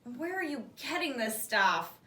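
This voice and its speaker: A woman reading aloud plays a young storybook heroine, curious and playful. Her questions lift in a high, animated voice, heard a little off the mic. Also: unhurried, exaggerated voice. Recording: roomy echo, distant microphone.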